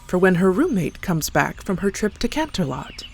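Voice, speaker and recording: A woman's voice: fairly low pitch, big pitch swings, moderate pace, a little mellow. A woman narrating a scripted horror story as a storyteller. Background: clear background music.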